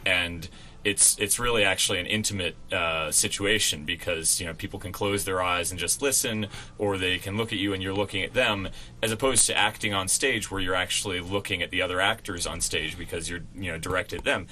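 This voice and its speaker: A man's voice: low-pitched, steady tone, fairly quick, pushed and bright, a little hiss or hum. A man being interviewed on college radio as a radio play actor, talking in a chatty, explanatory way.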